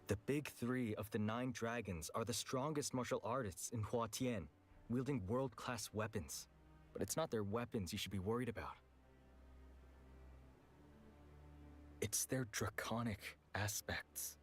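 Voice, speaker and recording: An actor playing a frank, world-weary weapons merchant in a video game, with a casual, blunt manner. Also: male voice, fairly low pitch, moderate pace, close to an everyday voice. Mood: cautioning. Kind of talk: scripted game voice acting. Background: background music.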